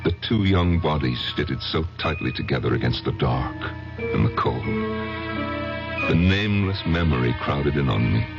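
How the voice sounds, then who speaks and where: An actor playing a haunted, tormented man who narrates a confession slowly and haltingly in a radio drama, with a gravelly voice. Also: lively intonation, full character voice. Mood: brooding, intense. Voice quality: gravelly, very deep. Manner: slow, halting, brooding, dramatic. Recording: dull vintage radio recording, loud music.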